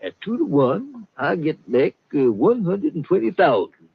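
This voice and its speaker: A man's voice: sits high, very animated, a little slow, vocal fry, breathy edge, no echo, exaggerated voice. An actor playing a genial, blustering older con-man colonel in a 1950s radio drama, with courtly, hesitant, folksy patter. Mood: smug, genial. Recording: muffled old 1950s radio recording.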